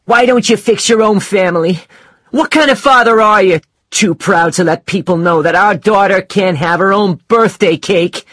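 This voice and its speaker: A man voicing an angry young mother who shouts accusingly at her husband in an audiobook story. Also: high-pitched, moderate pace, no echo, pitched above the performer's own voice. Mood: furious, accusing.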